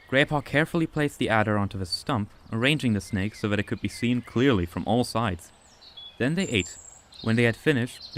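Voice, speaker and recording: A man giving steady, scripted storybook narration for a fan-made audiobook. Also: medium pitch, slight background noise.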